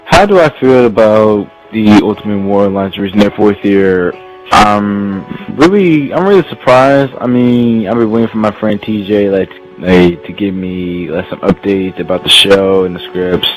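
Young man, deep-ish voice, hesitant casual talker, music bed. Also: moderate pace, poor audio. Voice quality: deeper voice. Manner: hesitant, lots of "like". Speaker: voice actor.

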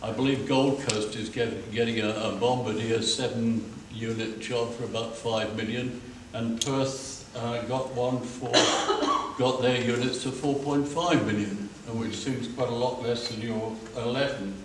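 Loud questioner in a very echoey hall. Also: male voice, medium pitch, fairly even intonation, unhurried, far from the mic, a little hiss or hum. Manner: loud, pointed, cites figures. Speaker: audience member asking questions.

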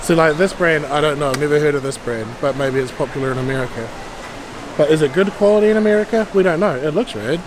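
A man's voice: a little high, fast. A middle-aged man, talking casually through a rambling shopping vlog while holding the camera. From Denmark. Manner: rambling, chatty price commentary. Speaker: vlogger. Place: large busy warehouse store. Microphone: handheld camera at arm's length. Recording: loud store background noise. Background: loud store crowd noise.

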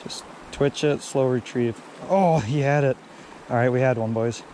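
A man's voice: medium pitch, moderate pace. Young man vlogging beside a stream. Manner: relaxed, talks to viewers as he fishes. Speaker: fishing vlogger. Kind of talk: casual narration while fishing. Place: outdoors by a creek and pond. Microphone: head-mounted action camera. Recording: clear water and outdoor noise behind. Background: running stream water.

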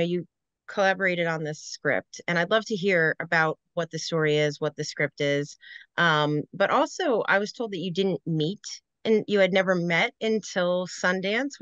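A woman's voice: fairly low pitch, a bit bright, dry recording. A young woman chatting casually as an interviewer over a video call. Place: room at home with shelves, indoors. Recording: dull, muffled video call audio.

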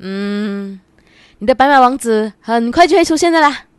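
A woman's voice: a little high, animated, normal speed, clear, resonant tone, no room sound, lightly acted. A young woman with a Malaysian Chinese accent playing a bubbly, coy, teasing university student in a radio drama. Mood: playful, teasing. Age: young adult. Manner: coy, teasing, particle-heavy colloquial speech. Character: bubbly, playful 23-year-old female university student in love.

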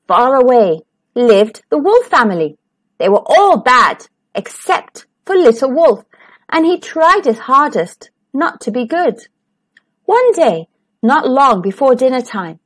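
High, animated woman storyteller. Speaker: storytime host and narrator. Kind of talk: children's picture-book read-aloud.

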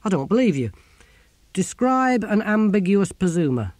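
Man acting a high-voiced, sceptical young man. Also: huge pitch swings, normal speed, dark tone, slightly rough, no echo, exaggerated voice. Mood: incredulous, scornful. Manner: incredulous, challenging, scornful. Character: sceptical, sneering young man, philosopher's helper.

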